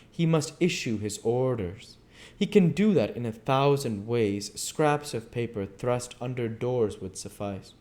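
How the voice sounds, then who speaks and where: A man's voice: mid-range voice, darkish tone, voice pushed up. A man voicing a fanatical, megalomaniac schemer in a storybook reading, with emphatic, declamatory delivery. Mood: menacing, commanding.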